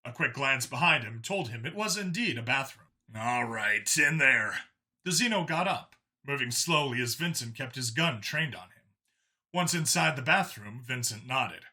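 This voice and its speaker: A man's voice: mid-range voice, animated, moderate pace, sharp tone. A man reading science fiction as a dramatic scripted narration. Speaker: story narrator.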